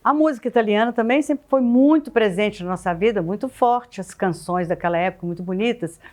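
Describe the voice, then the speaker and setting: A woman's voice: medium pitch, brisk. Older woman fondly recalling family gatherings. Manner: warm, nostalgic storytelling with repeated words. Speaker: interviewee. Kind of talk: reminiscing in a documentary interview.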